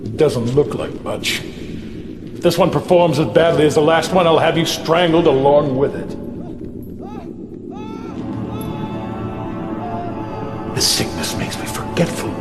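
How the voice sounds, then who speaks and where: A man's voice: fairly high pitch, natural voice. An actor playing an ailing, cruel old baron in a video game, bitter and menacing, drawing out his words with theatrical relish. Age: older. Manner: menacing, drawn-out, savoring cruelty. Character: sick, cruel old male tyrant baron, bitter and gloating. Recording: roomy echo, loud music behind.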